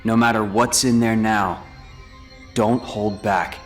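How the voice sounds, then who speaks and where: An actor playing a brooding, reserved teenage boy in a video game cutscene, speaking in a measured, serious way. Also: on the low side, fairly even intonation, faint music behind. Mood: solemn. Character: brooding, reserved teenage male rival and friend.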